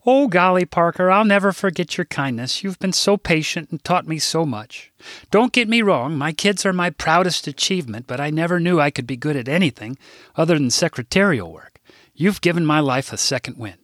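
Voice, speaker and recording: A man's voice: a little high, expressive, moderate pace, a bit bright, no echo, studio quality, pitched above the performer's own voice. A man voicing a fussy, emotional older woman assistant who frets over swearing and fights back tears. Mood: tearful. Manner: fussy, tearful, prim about swearing.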